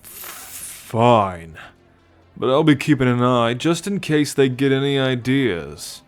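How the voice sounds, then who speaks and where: A man's voice: mid-range voice, sing-song, slow, soft background music. A man voicing a cocky, teasing alien military scout and possessive captor in an ASMR roleplay, boastful and sardonic with slangy swearing. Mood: jealous, grudging. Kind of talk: ASMR roleplay acting addressed to the listener.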